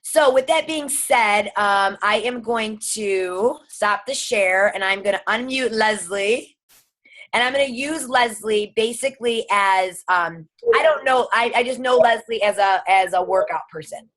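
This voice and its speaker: A woman's voice: mid-range voice, a little slow, fairly bright tone, low-quality recording. A woman leading an informal training on a group call, instructive and emphatic, repeating her key points. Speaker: sales team trainer. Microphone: online group call.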